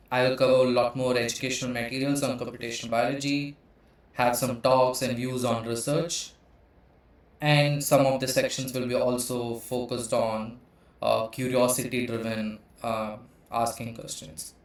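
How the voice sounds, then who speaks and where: A man from India in his thirties hosts a teaching channel and explains computational biology from a slide deck. He hesitates often, filling gaps with uh, and his pitch sits in the middle range. His voice rings out in a very echoey room. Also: flat delivery, normal speed. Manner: hesitant, frequent uh fillers.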